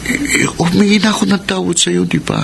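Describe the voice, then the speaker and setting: A man's voice: high voice, steady tone, fairly quick, vocal fry, background noise. A man acting an evasive, defensive young boyfriend in a Filipino radio drama, pleading and prone to stammering. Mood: defensive, nervous. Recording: music under the voice.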